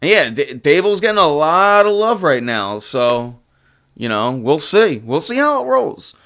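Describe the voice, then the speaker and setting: Hoarse, animated sports host. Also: male voice, on the high side, a little slow. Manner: animated and emphatic, rattling off stats. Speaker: livestream sports show host. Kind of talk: casual sports talk reading chat.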